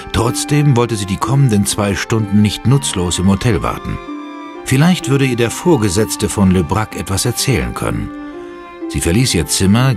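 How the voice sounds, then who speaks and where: A man narrating an audio drama in a dramatic, scripted style. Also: lowish voice.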